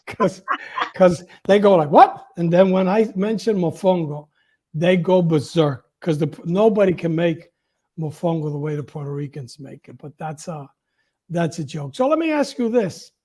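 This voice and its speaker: A man's voice: a little high, expressive, a little slow, darkish tone. A man hosting a casual interview over a video call, chatting and putting questions to his guest. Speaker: interview host.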